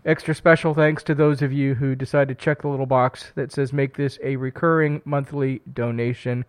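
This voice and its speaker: A man's voice: medium pitch, fairly even intonation, normal speed, clear, resonant tone. A man hosting a community radio music show, chatty and upbeat, fighting a cold. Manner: upbeat, chatty, self-deprecating, with fillers and restarts. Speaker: radio host. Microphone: studio broadcast microphone.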